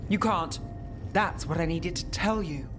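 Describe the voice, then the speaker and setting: A woman's voice: on the low side, unhurried, over music, natural voice. An actress playing a weary, determined woman mage in a video game, with a restrained, dry delivery. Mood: somber, resolute. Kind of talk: dramatic video game cutscene acting.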